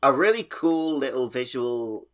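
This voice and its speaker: A man's voice: mid-range voice, expressive, unhurried. A man co-hosting a podcast, chatting casually about a video game. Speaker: podcast co-host.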